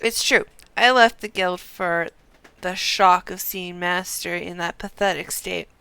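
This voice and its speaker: Woman reading as an earnest, slow-spoken male fighter. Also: lowish voice, vocal fry, pushed and bright, clear, resonant tone, full character voice. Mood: earnest, defensive. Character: earnest male refugee fighter, devoted to his ailing master.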